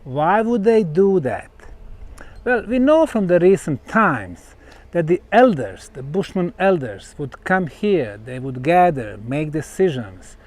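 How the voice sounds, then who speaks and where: A man gives an explanatory talk as a researcher on location, speaking deliberately in short phrases with pauses. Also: a little high, sing-song, a little mellow. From Bosnia. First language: Bosnian. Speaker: researcher presenting a site.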